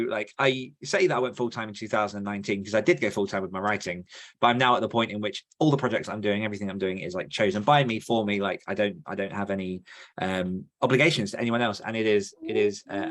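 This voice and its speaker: A man's voice: fairly low pitch, steady tone, fairly quick, fairly bright tone. A man co-hosting a podcast in casual chat, talking in a chatty, rambling, self-deprecating way. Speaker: podcast co-host and author.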